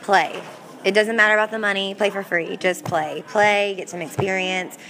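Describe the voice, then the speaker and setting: A woman's voice: mid-range voice, steady tone, normal speed, pushed and bright, a little hiss or hum. A young woman country singer answering interview questions in an upbeat, chatty way, often restarting her sentences. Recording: crowd audible behind. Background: crowd chatter.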